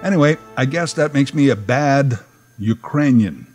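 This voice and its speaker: A middle-aged man delivers a dry, sardonic monologue, his pitch rising and falling in wide swings. Faint music sits under his voice. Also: lively intonation, moderate pace. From Canada. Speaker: podcast host. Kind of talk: personal monologue podcast.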